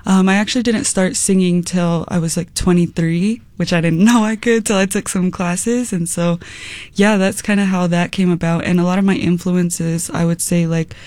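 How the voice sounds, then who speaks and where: A woman's voice: on the low side, steady tone, moderate pace, a little mellow, no echo. Young American woman, close dry sound. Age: young adult. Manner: giggly, informal, frequent "like". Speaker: musician guest, singer and rapper. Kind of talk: casual interview chat.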